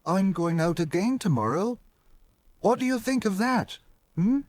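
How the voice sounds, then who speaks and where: A man's voice: high-pitched, animated, unhurried, darkish tone, dry recording, studio quality, pitched above the performer's own voice. A man voicing a cheerful, eager male steam engine that chugs along with puffing shh sounds. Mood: proud.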